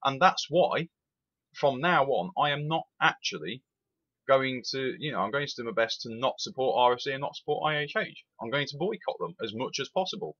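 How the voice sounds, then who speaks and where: Ranting young man, bright edge. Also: mid-range voice, a little fast, highs cut off. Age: 20s. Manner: animated, emphatic, repeats itself. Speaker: rail sim reviewer. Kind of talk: opinionated vlog rant.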